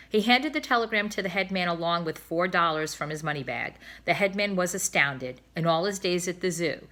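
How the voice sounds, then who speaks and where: Bright-voiced woman reading a storybook aloud. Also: on the low side.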